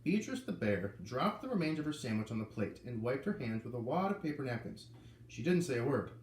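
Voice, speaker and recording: A man reading a children's novel aloud, lively and putting on voices for the characters. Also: medium pitch, brisk, far from the mic. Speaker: reader. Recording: echoey room with faint ambient noise.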